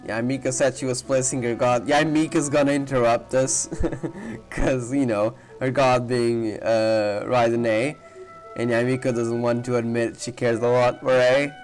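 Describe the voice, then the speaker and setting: A man's voice: medium pitch, steady tone, a little slow, rough recording. A man giving casual, rambling playthrough commentary as he thinks aloud, with game music behind him. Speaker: gaming streamer reacting to a playthrough.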